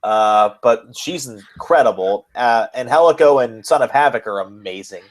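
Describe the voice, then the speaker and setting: A man's voice: mid-range voice, animated, moderate pace. A man co-hosting a podcast, chatting casually as an enthusiastic fan and swearing freely. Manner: enthusiastic, profane. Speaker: podcast co-host. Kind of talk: casual wrestling fan chat.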